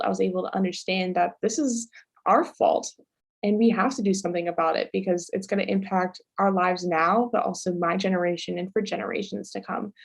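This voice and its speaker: A teenage girl talks with passion in a casual, chatty way, often saying "like". Her voice has a gravelly fry running through it and sits in a middle pitch. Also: darkish tone. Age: teens. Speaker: guest author and activist. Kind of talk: panel discussion answers.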